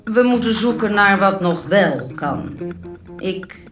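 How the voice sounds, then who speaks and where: An actor playing a formal, measured, mildly detached male doctor in a radio drama. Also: high voice, expressive. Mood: measured, grave. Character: measured, matter-of-fact hospital specialist, formal, mildly detached. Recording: echoey room with loud music. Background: loud music.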